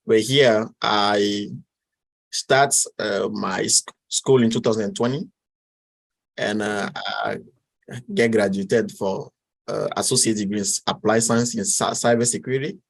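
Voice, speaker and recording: A man in his twenties from Togo with a Togolese French accent, answering interview questions in a halting way, with many fillers and restarts. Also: fairly low pitch, fairly even intonation, vocal fry, rough recording. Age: 20s. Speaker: guest.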